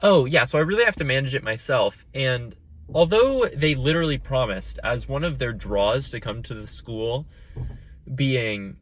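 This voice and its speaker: A man's voice: mid-range voice, expressive, normal speed, rough recording. A sick young man with a nasal voice, rambling and correcting himself in a casual vlog while he drives. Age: young adult. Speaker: vlogger. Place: car on the road. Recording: car noise behind voice.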